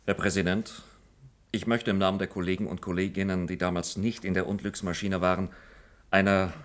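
Deep, solemn jurist played by a man. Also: little pitch movement, a little fast, close to an everyday voice. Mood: solemn, indignant. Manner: formal, oratorical. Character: solemn, pompous male court colleague. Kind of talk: German radio play acting.

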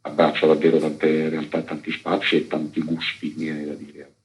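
A man's voice: extremely low pitch, completely flat delivery, normal speed, faint music behind, rough recording. A man, an actor interviewed on radio, talking informally and discursively off the cuff. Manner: discursive, improvising. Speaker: actor and author, interview guest.

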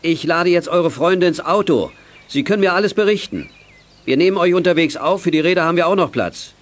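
A man's voice: high voice, expressive, brisk. A male actor playing a jovial grown-up friend of child detectives in a German children's radio play.

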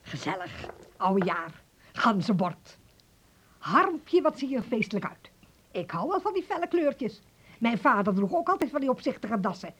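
A woman's voice: a little high, very animated, normal speed, slightly rough. An actress playing a chatty, fussy, playful woman in a Dutch radio play, chirpy and gushing in manner. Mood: cheerful. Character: chatty, playful, fussy female family guest.

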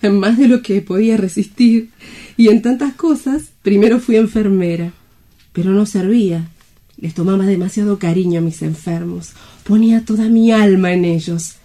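Woman acting a young woman, desperate, fearful, very mellow dark timbre, theatrical radio-play delivery. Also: medium pitch, moderate pace, close to an everyday voice. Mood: bitter, sorrowful. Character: frightened, desperate young woman worn down by hunger and loneliness. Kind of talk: stage-like radio drama acting.

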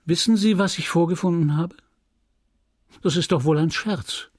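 A man voicing a desperate, proud married woman deep in debt in an audiobook novel, agitated and pleading, quick to indignation. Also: dry recording, clean studio sound, close to an everyday voice. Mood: indignant. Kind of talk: dramatised audiobook reading of a literary novel.

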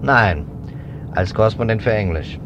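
An actor playing a methodical, suspicious investigator in a 1950s German radio drama, speaking deliberately in emphatic, clipped phrases. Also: male voice, lowish voice, lively intonation. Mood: determined. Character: persistent adult male investigator, methodical and suspicious. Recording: dull, noisy 1950s radio recording.

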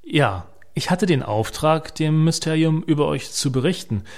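A man's voice: fairly high pitch, expressive, moderate pace. An actor playing an evasive, coolly defensive male singer-songwriter turned informer in a radio play phone call.

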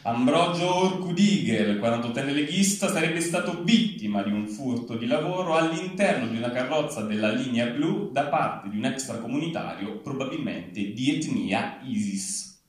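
A man's voice: mid-range voice, animated, moderate pace. A man reading a satirical news bulletin live, with an emphatic newscaster delivery that drops into each punchline. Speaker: satirical newsreader on stage. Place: large echoey venue at a live reading event. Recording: very echoey hall, distant, faint noise.

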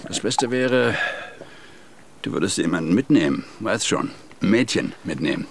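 An actor playing a scheming older husband who wants a man killed, sly and gruff with chuckling menace in a radio drama. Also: low-pitched, expressive, moderate pace. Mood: insistent.

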